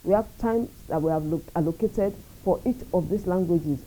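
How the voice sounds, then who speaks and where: A Nigerian woman speaking as a radio station executive in an interview, measured, earnest and proud. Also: fairly low pitch, warm tone, a little hiss or hum, rough recording. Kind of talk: interview answers.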